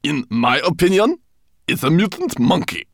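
A man's voice: on the high side, huge pitch swings, moderate pace, creaky, no room sound, clean studio sound, pitched above the performer's own voice, heavily acted. A man reading an audiobook voices a pompous, portly older male professor with a haughty, condescending manner. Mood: smug. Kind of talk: audiobook character voice.

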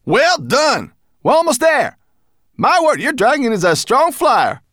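A man voicing a hearty, exuberant male brownie who grows mushrooms, loud and exclaiming, for a storybook reading. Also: very high voice, huge pitch swings, a little slow, dry recording, studio quality, voice pushed up, heavily acted. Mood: exhilarated. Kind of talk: storybook character acting in audiobook.

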